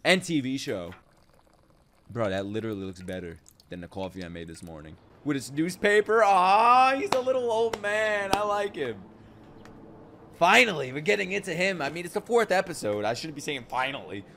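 A young man giving casual, excitable commentary as he reacts to an anime, swearing freely and breaking into shouts and laughter. He talks into a boom-mounted desk mic at home. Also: moderate pace, a little hiss or hum. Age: young adult. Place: home streaming room. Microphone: boom-mounted desk mic, wearing headphones.

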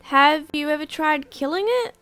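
A woman's voice: high-pitched, lively intonation, moderate pace, fairly bright tone, no room sound, soft background music. A woman voice acting a questioning, determined girl on a spaceship in an amateur game roleplay. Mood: curious.

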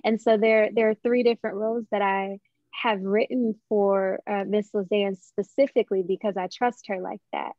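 A young woman, a playwright, answering thoughtfully in an interview on a video call, with measured pauses and dull audio. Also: medium pitch, fairly even intonation, dry recording. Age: young adult. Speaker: playwright guest.

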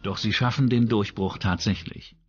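A man's voice: lowish voice, steady tone, moderate pace, slightly rough, highs cut off. A man reading scripted true-crime documentary narration as the narrator. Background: background music.

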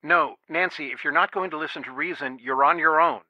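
Tense, bright-voiced worried father. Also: on the high side, a little fast, no room sound. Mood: upset, pleading. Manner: earnest, emotional, pleading. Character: worried, protective middle-aged father pleading with his daughter. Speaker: voice actor in an adventure game. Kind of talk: naturalistic video game dialogue, one side of a phone call. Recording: dry, band-limited phone-like sound.

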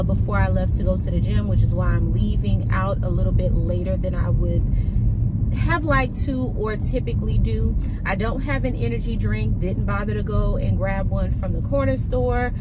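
A young woman talks casually and folksily, calling her viewers y'all. She is in a car, recorded on a dashboard phone, with loud road noise underneath. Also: mid-range voice. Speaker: vlogger. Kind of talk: casual daily vlog chat. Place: inside a car. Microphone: phone camera on dashboard.

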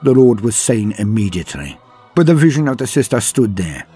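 A man narrating a scripted story in a dramatic, grave, measured manner with pauses for effect, his voice husky. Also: medium pitch, very animated, mellow. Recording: music behind the voice. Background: background music.

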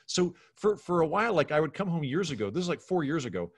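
Man telling animated comic anecdotes. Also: on the high side, quick pace, slightly rough. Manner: animated storytelling, acting out quoted exchanges. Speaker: podcast guest, comedian. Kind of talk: casual chat, comic anecdotes. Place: home bedroom, indoors. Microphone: video call.

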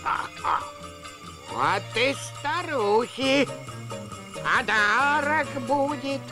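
A woman's voice: high-pitched, lively intonation, extremely slow, over music, full character voice. A woman voicing a meek, simple, good-natured old peasant man in a puppet cartoon, folksy and hesitant. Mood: pleased.

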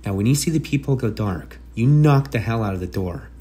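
A man acts out a wisecracking masked comic book antihero, mid-pitched and theatrical. He is glib and brash, with a kind streak, and there is clear noise behind the voice. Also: lively intonation, fairly quick, mellow, natural voice. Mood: playful, cocky. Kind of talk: comic book dub, character acting.

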